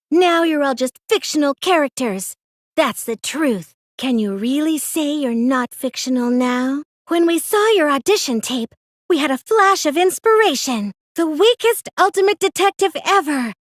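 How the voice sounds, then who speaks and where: A woman's voice: high voice, big pitch swings, a little slow, dry recording, studio quality. A woman voicing a gleeful, gloating young female cosplayer mastermind in an anime video game, theatrical and taunting. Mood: cold, quiet.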